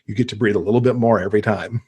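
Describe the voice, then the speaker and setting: A man's voice: fairly low pitch, steady tone, rapid-fire, darkish tone, husky. A man from the United States, a podcast guest telling stories casually and enthusiastically. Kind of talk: casual conversational storytelling.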